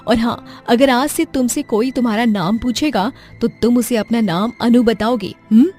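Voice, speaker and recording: A woman's voice: fairly high pitch, moderate pace, darkish tone, close to an everyday voice. A woman acting a kind, motherly adult in a cartoon story, tender and full of concern. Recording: music behind the voice.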